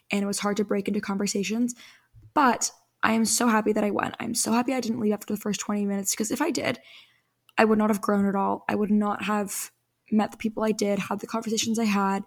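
A young woman hosting a solo podcast, giving earnest, chatty advice while her voice fades a little and sounds slightly hoarse. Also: medium pitch. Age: young adult. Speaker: podcast host.

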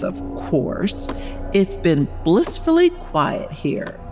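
A woman playing an elderly wife in a horror audio story, reading her worried, genteel journal in a measured, wistful manner. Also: low voice, full character voice. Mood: wistful, weary.

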